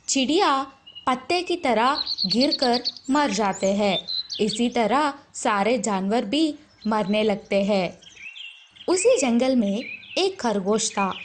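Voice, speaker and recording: A woman with a very high voice tells a scripted children's story. She reads slowly, and birdsong noise is clearly audible behind her. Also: expressive.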